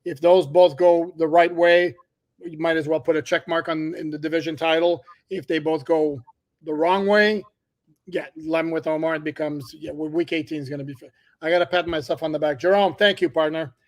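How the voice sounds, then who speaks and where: A man whose first language is French, co-hosting a casual sports podcast with a joking, animated manner. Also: fairly high pitch, brisk. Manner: joking, animated, laughs at his own jokes. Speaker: podcast co-host.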